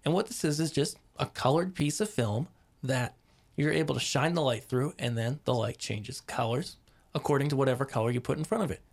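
A man hosting a podcast about stage lighting, casually explaining gear with many ums and restarts while hoarse from a cold. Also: medium pitch, a little fast. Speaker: podcast host.

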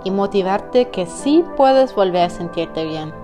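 A woman reading a scripted podcast intro slowly and deliberately. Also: mid-range voice, lively intonation. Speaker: show announcer. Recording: voice over clear background music.

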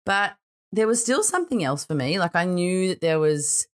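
Podcast host: Australian woman, middle-aged, low register with slight croak, informal reflective monologue. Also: very animated, moderate pace. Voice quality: slightly croaky early-morning voice.

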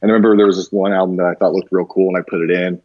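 A man's voice: deep voice, level tone, racing, no room sound, highs cut off. A man in his thirties chatting informally as an interview guest, slangy and self-deprecating. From grew up mostly overseas in Japan. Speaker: podcast guest, branding designer. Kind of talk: casual interview conversation, personal anecdotes.

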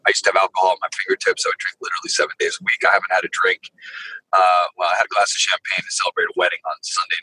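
Middle-aged male guest, lowish bright voice, dry close sound, rambling storyteller. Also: husky, no room sound, low-quality recording. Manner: storytelling with many restarts and fillers. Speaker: guest, vibroacoustic therapy practitioner. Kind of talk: casual podcast interview.

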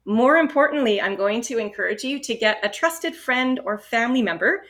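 Steady, encouraging woman test-prep instructor. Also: on the high side, moderate pace. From Canada. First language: English. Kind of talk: instructional podcast talk.

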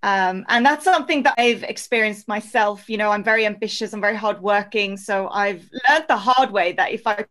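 A woman's voice: mid-range voice, a little fast, hardly any pauses, a bit bright. A woman from Norway, giving earnest, reflective interview answers as a life coach. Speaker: podcast guest, life coach.

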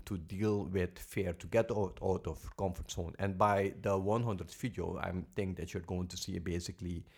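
A man's voice: fairly low pitch, a little mellow. A Dutch man co-hosting a podcast in English, explaining ideas earnestly and leaning often on "basically". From Netherlands. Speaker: podcast co-host. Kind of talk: casual podcast talk.

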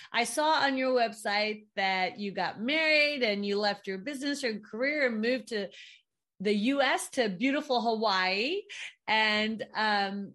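A woman's voice: fairly high pitch, a bit bright. A middle-aged woman hosting a podcast, enthusiastic and effusive as she welcomes and questions her guest. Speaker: podcast host. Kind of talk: podcast interview.

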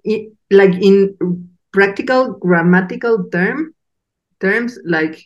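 A woman's voice: medium pitch, slow pace, muffled recording. A woman from Mexico with a Mexican Spanish accent, a native Spanish speaker hosting an interview and searching for her words with frequent laughs. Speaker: podcast host. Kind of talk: casual interview chat.

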